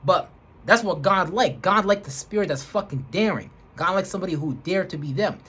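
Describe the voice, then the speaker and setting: A man's voice: high-pitched, brisk, pushed and bright, breathy edge. A man vlogging an emphatic, rambling spiritual rant, swearing heavily and repeating words for emphasis. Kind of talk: profane spiritual rant to camera.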